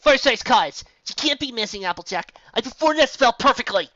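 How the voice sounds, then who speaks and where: A woman's voice: fairly high pitch, sing-song, fast, sharp tone, no echo, voice pushed up. A woman voicing an anxious, bookish young female unicorn who pleads in a frantic, breathless way, in a dull lo-fi recording. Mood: panicked.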